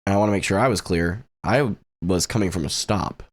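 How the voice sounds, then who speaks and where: A man's voice: sits low, fairly quick. A man in his late twenties sharing a personal faith testimony in an earnest, reflective way, with flashes of self-deprecating humor. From United States.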